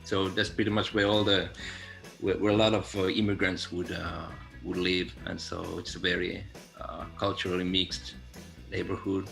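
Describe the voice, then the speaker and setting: A man's voice: low-pitched, level tone, moderate pace, gravelly, fairly bright tone, soft background music. A man from Finland, answering interview questions over a video call in a reflective, laid-back way. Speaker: guest musician.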